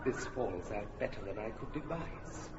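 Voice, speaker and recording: An actor playing a stately, scheming fairy king in a classic radio drama, speaking verse in a measured way with sharp bursts of command. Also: mid-range voice, expressive, dull audio, lightly acted. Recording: roomy reverberant sound with room noise, from a distance.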